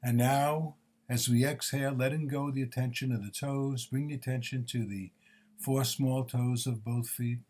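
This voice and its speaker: Male meditation teacher, medium pitch, measured and gently instructive, short phrases with pauses, guided body scan delivery. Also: fairly even intonation.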